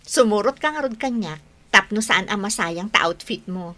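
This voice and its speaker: An actress with an Ilocano accent playing a bubbly, teasing young best friend and matchmaker in a radio drama. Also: female voice, medium pitch. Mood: eager, friendly. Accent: Ilocano, northern Philippines. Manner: animated, teasing, drawn-out words.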